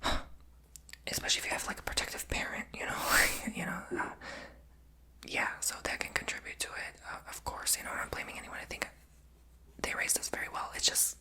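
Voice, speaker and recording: Whispered ASMR storyteller: breathy, very bright and airy, rambling and confessional, fillers everywhere. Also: fairly quick, pauses often. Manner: rambling, chatty, many fillers; confessional and candid.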